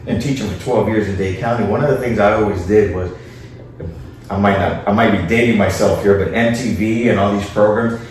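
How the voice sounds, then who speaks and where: A man's voice: on the low side, steady tone, brisk, darkish tone. A man from Miami answering interview questions informally as a guest, dropping in Spanish phrases. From Miami, Florida. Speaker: interview guest, city official and former teacher. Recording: echoey room, distant mic, faint noise.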